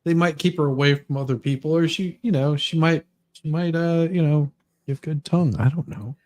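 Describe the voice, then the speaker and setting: A man's voice: a little high, normal speed, a little mellow. A man co-hosting a comedy show, giving casual commentary and reading aloud in an informal, hesitant, joking way. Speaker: co-host.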